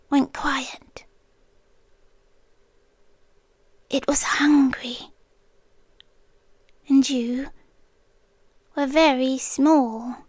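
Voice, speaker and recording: Woman acting a hushed, high-voiced, inhuman British girlfriend. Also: dry recording, clean studio sound. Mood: ominous, tender. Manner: soft, hushed, often slipping into whispers. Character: inhuman yandere childhood friend, female forest being, tender, possessive. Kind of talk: intimate ASMR roleplay monologue.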